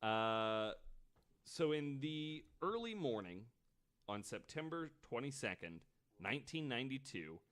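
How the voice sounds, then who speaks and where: Man, podcast host, lively intonation, informal storytelling, joking, mid-range pitch. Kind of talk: casual conversational storytelling.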